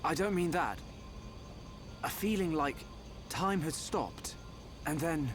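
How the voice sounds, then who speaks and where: An actor playing an earnest, gentle young researcher hero in a video game cutscene. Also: male voice, fairly high pitch, lively intonation, slow pace, slight background noise. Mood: puzzled, intent. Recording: game music under the voice. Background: loud background music.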